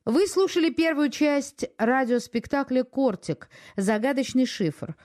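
A woman's voice: sits high, expressive, measured pace, darkish tone. A woman radio announcer reading a formal scripted announcement.

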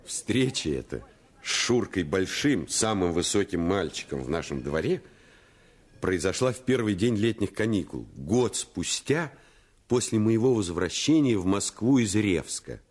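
A man narrating a radio play as a grown man calmly recalling his boyhood in scripted storytelling. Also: deep voice, unhurried, slightly rough, full character voice. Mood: reminiscing.

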